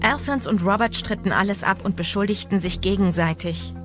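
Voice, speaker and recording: A woman's voice: mid-range voice, brisk, natural voice. A woman playing a murdered young woman who narrates her own death in a scripted true-crime voice-over. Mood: somber. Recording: music bed under the voice.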